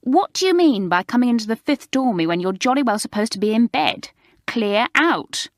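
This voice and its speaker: A woman voicing an impatient, sharp-tongued teenage schoolgirl with a tart manner. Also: on the high side, expressive, normal speed, no echo, studio quality, pitched above the performer's own voice, natural voice. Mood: scolding. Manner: tart, exasperated.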